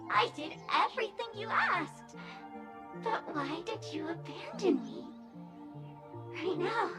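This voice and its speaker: A woman's voice: very high-pitched, full character voice. A woman voicing a forlorn, unhinged living mask of a maiden in a video game, melodramatic and pleading. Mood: hurt, abandoned. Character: cast-off theatrical maiden mask, forlorn, unhinged, desperately smiling. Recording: loud music under the voice. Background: music.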